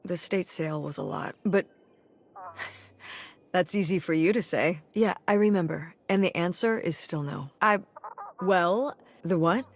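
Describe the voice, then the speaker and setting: A woman's voice: on the low side, lively intonation, measured pace, dry recording. A woman voicing a tired, wry middle-aged mother in a naturalistic video game. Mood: weary, irritated.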